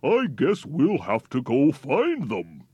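Man playing lonely old barns: low, slow, hollow, a bit husky, dry sound. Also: wildly swooping pitch, mellow, no room sound, lo-fi sound, heavily acted. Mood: forlorn.

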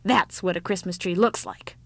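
A woman's voice: medium pitch, fairly quick, fairly bright tone, no echo, natural voice. A woman voicing a grumpy old peasant woman in a storybook, tart and indignant. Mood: gruff, irritated. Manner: tart, snapping, growling, indignant. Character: cantankerous old peasant woman, grumpy but secretly soft. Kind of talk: storybook character voice.

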